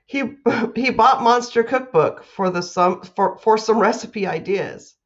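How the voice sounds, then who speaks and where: A woman's voice: mid-range voice, measured pace, fairly bright tone, muffled recording. A woman, a school principal reading aloud and making announcements to children.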